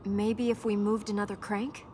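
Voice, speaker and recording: A woman playing an earnest, dutiful young princess in a video game, matter-of-fact with a dry, gently teasing wit. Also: medium pitch, level tone, moderate pace, lightly acted. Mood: determined, dry. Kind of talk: video game dialogue acting. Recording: noisy game ambience behind voice.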